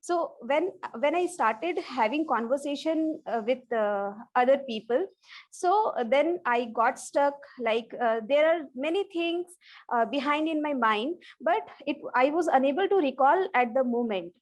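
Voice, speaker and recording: A woman whose first language is Bangla, speaking English slowly and hesitantly on a video call, with many restarts and fillers as she practices. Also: high-pitched, animated, low-quality recording. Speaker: English learner. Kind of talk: online English practice conversation.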